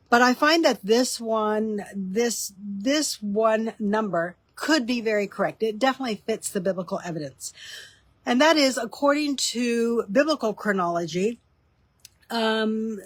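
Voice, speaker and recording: A woman with a slightly nasal, stuffy voice from a cold, explaining biblical history in a relaxed teaching talk with frequent ums. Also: mid-range voice, no room sound. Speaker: author and teaching host.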